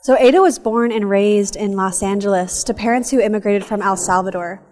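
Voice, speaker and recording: Podcast host, woman, earnest and measured, recorded with a long hall reverb. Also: medium pitch, a little mellow. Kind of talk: reading ads and intro, then conversational interview. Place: cafe, live recording.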